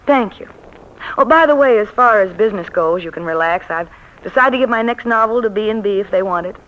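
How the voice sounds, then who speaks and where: A woman's voice: medium pitch, lively intonation, brisk, fairly bright tone, lightly acted. An actress playing a teasing, self-possessed lady novelist in a 1940s radio romance. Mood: cool, composed. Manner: coy, playful. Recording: dull, noisy vintage radio recording.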